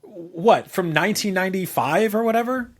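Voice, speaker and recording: A middle-aged man chatting casually as a podcast co-host, rambling and halting, with colorful comparisons. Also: high-pitched. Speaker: podcast co-host, video host and writer. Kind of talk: casual conversational podcast chat.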